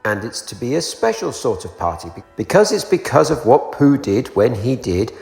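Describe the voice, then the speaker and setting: A man's voice: mid-range voice, very animated, moderate pace, creaky, darkish tone, soft background music. A man voicing an earnest, kindly young boy from a storybook, giving instructions. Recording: roomy echo, long reverb.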